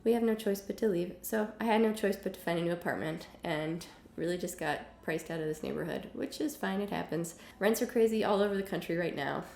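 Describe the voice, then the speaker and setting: A young woman teaching a casual music lesson at home, hoarse from illness, chatty with many ums and the odd cough. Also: fairly low pitch, brisk, hardly any pauses, darkish tone, echoey room, a little hiss or hum. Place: home room with piano and guitars.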